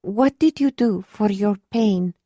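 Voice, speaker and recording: A woman voicing a calm foreign healer with an unusual accent, asking careful, gentle questions. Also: mid-range voice, takes it slowly, no echo, clean studio sound, pitched above the performer's own voice. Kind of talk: audiobook character voice.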